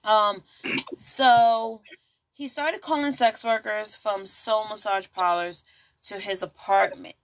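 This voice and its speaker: A woman's voice: mid-range voice, fairly even intonation, slow pace. A woman hosting a true crime podcast in a casual, chatty way, full of asides and jokes. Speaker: podcast host.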